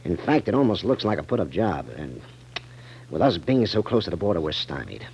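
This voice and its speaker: An actor playing a brisk, formal, emphatic civic chairman in a 1940s radio drama. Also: low voice, big pitch swings, a little mellow, breathy edge, exaggerated voice. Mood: frustrated. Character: brisk, assertive male civic committee chairman. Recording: muffled 1940s radio recording.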